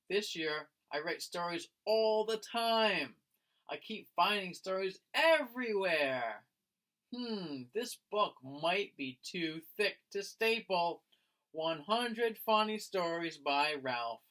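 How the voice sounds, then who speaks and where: A man reads a picture book aloud in the expressive manner of a teacher with young listeners. His voice sits a little high and swings widely in pitch. He takes his time, with a slow, friendly delivery. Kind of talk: animated children's read-aloud.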